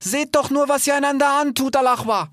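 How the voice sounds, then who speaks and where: A man's voice: very high voice, brisk, fairly bright tone, no echo, studio quality, pitched above the performer's own voice. A man voicing a small, earnest, hesitant animal in a storybook, stammering and ending sentences with questioning tags. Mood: pleading, earnest.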